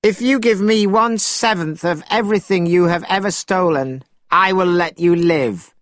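A man voicing a brash, proud, hot-tempered monkey king for a storybook, with an emphatic, cocky, drawn-out delivery. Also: sits high, fairly bright tone, no room sound, clean studio sound, pitched above the performer's own voice. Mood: defiant boasting. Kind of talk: storybook character voice.

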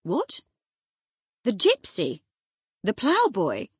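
A woman voicing a peevish, scornful young gentleman husband in an audiobook, cross and fussy. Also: medium pitch, animated, fairly quick, slightly rough, no room sound, clean studio sound. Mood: scornful surprise. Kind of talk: audiobook character acting.

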